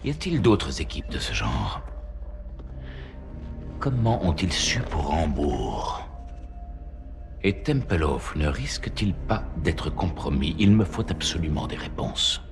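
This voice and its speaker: A stern Nazi commander in a cinematic war game. His voice is extremely deep and slow, grave with authority. Music runs underneath. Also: big pitch swings, exaggerated voice. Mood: grave, demanding. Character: stern, commanding senior Nazi officer giving orders.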